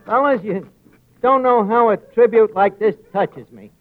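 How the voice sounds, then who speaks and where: A man's voice: high voice, measured pace, a little hiss or hum. An actor playing a folksy, sentimental, wisecracking New York tavern man who mangles his grammar in an old-time radio comedy. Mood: sentimental, grateful. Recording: muffled lo-fi vintage radio recording.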